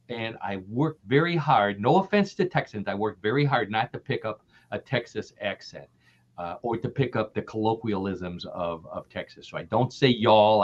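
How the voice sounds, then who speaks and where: An older man from the American Midwest, chatting as a podcast guest, laughing often and repeating himself as he talks. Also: on the low side. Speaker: guest author. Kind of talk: casual podcast interview. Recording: dull lo-fi audio.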